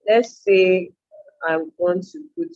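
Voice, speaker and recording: A woman's voice: medium pitch, big pitch swings, slow pace, darkish tone, dull audio. A woman with a Nigerian accent and Yoruba as a native language gives an informal step-by-step tutorial, explaining and thinking aloud as she goes. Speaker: online freelancing trainer.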